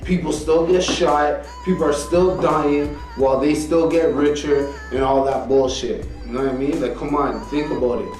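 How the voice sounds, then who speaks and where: A man's voice: fairly high pitch, steady tone, normal speed, hardly any pauses, darkish tone. A man, co-hosting a podcast, arguing insistently in a heated casual debate, swearing and repeating himself for emphasis. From Canada. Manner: insistent, argumentative, swears often, repeats "you know what I mean". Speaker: podcast co-host. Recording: echoey room, mic far off, faint music.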